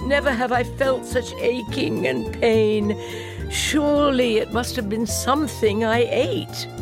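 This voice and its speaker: A woman's voice: on the high side, over music, voice pushed up. A woman putting on a moaning, whiny wolf. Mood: mournful. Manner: moaning, drawn-out lament. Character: mournful male wolf, whiny and dramatic.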